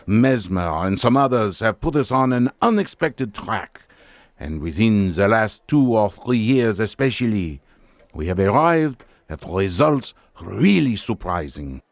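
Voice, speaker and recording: An actor playing a self-assured French doctor and hypnotist in a radio drama, measured, theatrical and commanding. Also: male voice, on the low side, very animated, no room sound. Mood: grandly lecturing.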